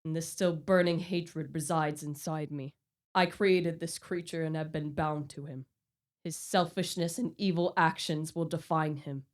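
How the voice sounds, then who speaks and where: A woman's voice: deep voice, fairly even intonation, a little slow. A woman playing a dying, vengeful male scientist in a radio play, declaiming with solemn, melodramatic anguish. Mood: dying despair. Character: dying, vengeful male scientist obsessed with hunting his creation. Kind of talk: theatrical radio play acting.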